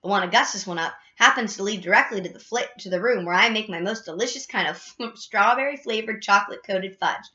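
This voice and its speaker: A woman's voice: medium pitch, fast, few pauses, sharp tone, natural voice. A woman voicing an eccentric male factory owner, blithe, emphatic and amused, on a dull recording. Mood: blithely dismissive.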